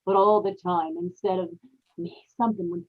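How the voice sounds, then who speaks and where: An actress playing a guilt-haunted, murderous young wife in a brooding, confessional old-time radio horror monologue. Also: female voice, on the low side, steady tone, a little fast, phone-quality sound, close to an everyday voice. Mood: haunted, confessing. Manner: dramatic, brooding confessional with repeated phrases.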